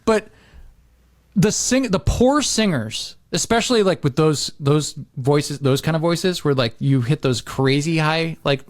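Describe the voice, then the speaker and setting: Middle-aged American male podcaster, slightly high voice, dry close sound, loose repetitive delivery. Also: lively intonation, a little slow, dry recording. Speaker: podcast host. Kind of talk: casual podcast chat.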